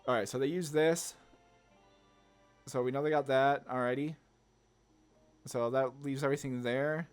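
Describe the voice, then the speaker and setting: A man giving casual gameplay commentary, rambling informally as he thinks through each move out loud. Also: medium pitch, fairly even intonation, normal speed, smooth, faint music behind. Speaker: gaming content creator, player.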